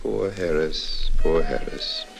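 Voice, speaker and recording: An actor playing a sly, smooth con man who narrates a 1950s radio drama in a wry, confiding, calculating way. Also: rumbling low, slow, faint music behind, heavily acted. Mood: gloating, confiding. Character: sly, smooth male con man gloating over his mark.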